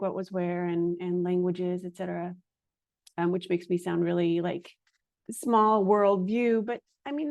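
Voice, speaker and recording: A woman's voice: fairly low pitch, darkish tone, no room sound. A woman podcast host who is a native Hungarian speaker, talking in a casual conversation and rambling with frequent hesitations.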